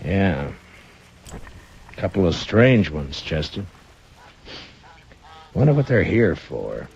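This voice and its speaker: An American man playing a steady, tough, suspicious frontier marshal in an old-time radio western, laconic and authoritative. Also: low-pitched, takes it slowly, vocal fry, husky, full character voice. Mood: wary, musing. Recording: dull old-time radio drama recording.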